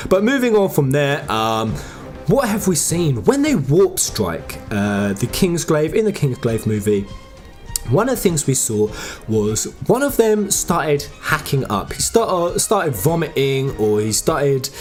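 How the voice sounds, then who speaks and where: Animated man in fan commentary over music. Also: medium pitch. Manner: emphatic, addresses viewers directly. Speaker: host of a video game lore channel. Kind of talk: informal fan theory commentary.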